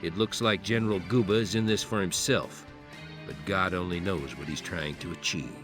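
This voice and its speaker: Actor as low-voiced military commander over music. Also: male voice, moderate pace, lightly acted. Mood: grave, businesslike. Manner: authoritative, orderly briefing delivery. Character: senior American military commander briefing a lieutenant, businesslike. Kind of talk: scripted military game dialogue. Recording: music behind the voice.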